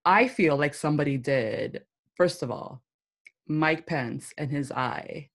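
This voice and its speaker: A woman co-hosting a podcast in casual chat, playful and chatty with many "like" fillers and a low, deep voice. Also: slow pace. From United States. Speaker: podcast co-host.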